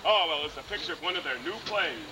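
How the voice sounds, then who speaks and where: A man's voice: fairly high pitch, expressive, fast, natural voice. An actor playing a folksy father bear who trades bad puns in a comic stage play, with corny patter. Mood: jovial, corny. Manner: corny, jovial straight-faced patter. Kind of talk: live comic vaudeville-style stage play. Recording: distant, very noisy live theatre recording.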